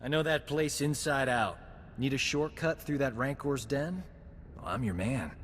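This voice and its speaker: An actor playing a cocky, flirtatious rogue doctor in a video game, with a breezy, self-assured manner. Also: male voice, medium pitch, normal speed, a bit bright, slight background noise, lightly acted. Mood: confident. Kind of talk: video game cutscene acting. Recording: game music behind the voice.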